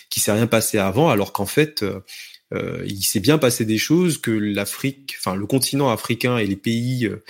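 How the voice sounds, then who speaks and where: A man's voice: lowish voice, creaky. A man leading a casual discussion, asking questions hesitantly with frequent restarts. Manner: hesitant, many restarts and fillers. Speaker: discussion host.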